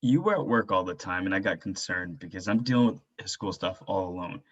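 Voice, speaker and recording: A man acting a worried, husky-voiced father. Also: animated, a little fast, fairly bright tone, full character voice. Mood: frustrated. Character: worried, stressed stay-at-home father, quietly frustrated husband. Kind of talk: staged reading of contemporary drama. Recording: dull, low-fidelity microphone sound.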